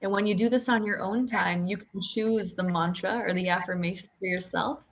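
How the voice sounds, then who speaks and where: Woman, meditation guide, unhurried and hushed, gentle delivery that sinks toward a whisper, mid-range pitch. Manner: slow, soothing and soft-spoken, often near a whisper.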